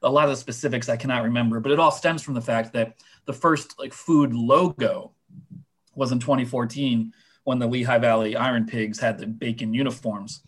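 A man whose voice is going hoarse, chatting casually on a sports podcast with lots of fillers and restarts. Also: medium pitch, steady tone, normal speed. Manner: rambling, many fillers and restarts. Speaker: minor league baseball writer guest.

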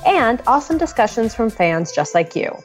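A woman's voice: a little high, sing-song, normal speed, faint music behind. A woman co-hosting a podcast in casual, easygoing banter, recorded on a portable mic plugged into a phone. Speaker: podcast co-host. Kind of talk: casual chat.

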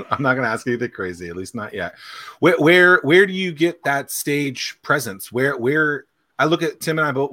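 A man's voice: medium pitch, fairly bright tone. Rambling male podcast co-host. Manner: rambling, repeats words while forming questions. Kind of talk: casual interview questions.

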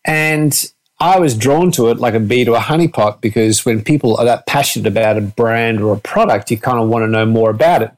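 A man's voice: mid-range voice, darkish tone. A man hosting a radio show, bantering jovially with his co-host and guest. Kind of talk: casual podcast chat.

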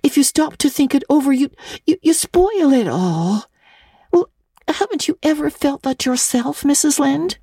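A woman's voice: high-pitched, very animated, measured pace, mellow, dry recording, clean studio sound, pitched above the performer's own voice, full character voice. A woman voicing an earnest, dramatic, impulsive young girl with breathless, pleading delivery, in a classic audiobook reading. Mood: excited protest.

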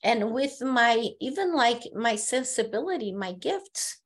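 A woman from Brazil with a Brazilian Portuguese accent, a therapist speaking as a podcast guest, telling her story earnestly with halting restarts. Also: fairly high pitch, very animated. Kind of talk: casual conversation.

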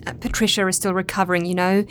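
An actress playing a flustered, earnest woman in a comedy audio drama, quick to defend herself and apologise. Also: on the low side, level tone, fairly bright tone, slight background noise, lightly acted. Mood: flustered apology.

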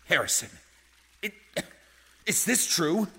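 A man's voice: sits high, big pitch swings, takes it slowly, sharp tone, slightly rough, heavily acted. A man playing a peevish, easily flustered board director in an audio drama, fussy and exasperated.

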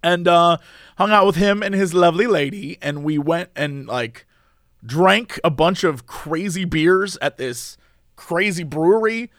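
A man co-hosting a casual comedic podcast, rambling along in a playful, animated way. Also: sits high, a little slow, no echo, studio quality. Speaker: podcast co-host.

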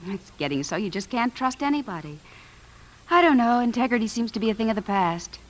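An American actress playing a trusting, chatty housewife in a 1950s radio drama, homey and earnest. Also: female voice, fairly high pitch, expressive, brisk, close to an everyday voice. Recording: 1950s radio broadcast recording.

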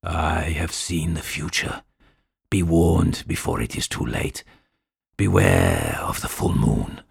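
A man voicing an ominous fortune teller woman, delivering slow, low, whispered warnings. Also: expressive, creaky, breathy edge, heavily acted.

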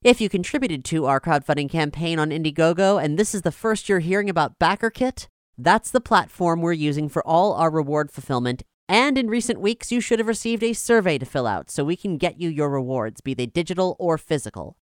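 Smooth, low-voiced woman podcast host. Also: animated, a little fast, no echo, studio quality. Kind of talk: scripted podcast announcement.

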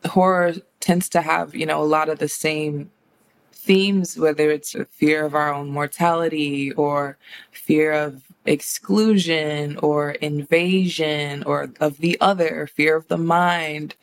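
A woman's voice: low voice, fairly even intonation, takes it slowly. An American woman speaking as an interview guest, reflective and conversational, thoughtful in how she phrases things. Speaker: interview guest, horror writer and director.

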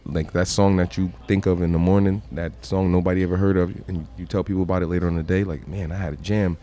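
A man with a deep voice, a guest musician in a podcast interview at a crowded restaurant, talking in laid-back slang and swearing casually. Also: steady tone, fast talker, warm tone.

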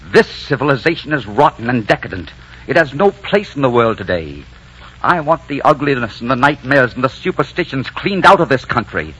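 An actor playing a commanding, lovestruck Spanish conquistador in a 1940s radio drama. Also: male voice, medium pitch, a bit bright, slightly rough. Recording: dull 1940s radio recording.

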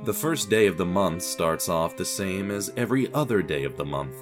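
A man's voice: low voice, lively intonation, moderate pace, music in the background. A man reading scripted story narration with a dry, wry, understated manner.

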